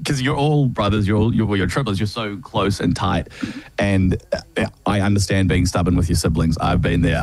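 A man interviewing a young band, full of praise and pausing often with fillers. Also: deep voice, a little mellow, no room sound. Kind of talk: casual interview.

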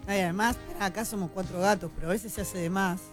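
A man's voice: sits high, steady tone, fast, music in the background. A man with a Rioplatense Spanish accent on a radio panel, chatting and checking in with the others as he talks. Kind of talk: casual studio chat.

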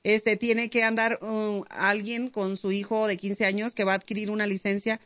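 A woman's voice: mid-range voice, flat delivery, moderate pace, fairly bright tone, dry recording. Chatty woman radio host, dry studio. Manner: upbeat, chatty, hesitant fillers. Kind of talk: casual radio chat. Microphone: studio mic.

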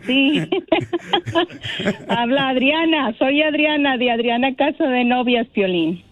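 High-voiced woman on a tinny phone line. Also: takes it slowly. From Mexico and Texas. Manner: chatty, laughs often. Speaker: caller, bridal shop owner. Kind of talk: phone interview.

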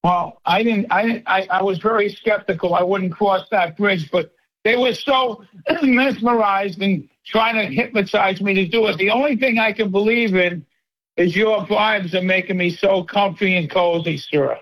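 Man, 70s, radio caller on the phone, flattering rambler, fairly high voice. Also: normal speed, dry recording, poor audio. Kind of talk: call-in radio chat.

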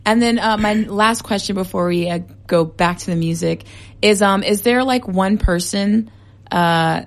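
A woman hosts a morning radio show from the studio. She sounds bubbly and enthusiastic as she interviews her guest. Her mid-pitched voice carries a gravelly, fry-laden edge. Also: a little slow. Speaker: radio host. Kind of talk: upbeat radio interview hosting.

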